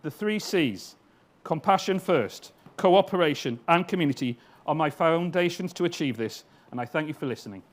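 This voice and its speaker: A man's voice: fairly high pitch, expressive, normal speed. A man delivering a formal, scripted speech to a summit. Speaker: speaker at a summit. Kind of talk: prepared speech.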